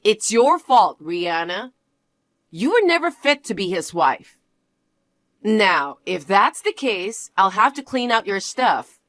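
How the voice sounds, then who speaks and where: A spiteful, domineering mother-in-law sneers and gloats, voiced by a woman. Her pitch swings widely as she mocks, with a haughty, taunting edge. The recording is close and dry. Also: very animated, slow pace, a bit bright, no echo. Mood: gloating. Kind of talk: one-voice drama reading.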